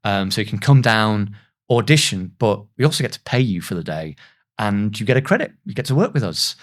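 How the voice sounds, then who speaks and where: A man's voice: on the low side, expressive, a little fast. A man in his thirties, a voice actor, telling anecdotes in a casual, self-deprecating way as a podcast guest. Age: 30s.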